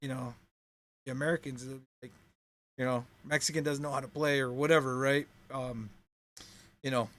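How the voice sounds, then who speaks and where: A man hosting a relaxed chat about keyboard playing, chatty and full of "you know what I mean". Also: medium pitch, normal speed, long pauses. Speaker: host, keyboard player. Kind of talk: casual chat about music.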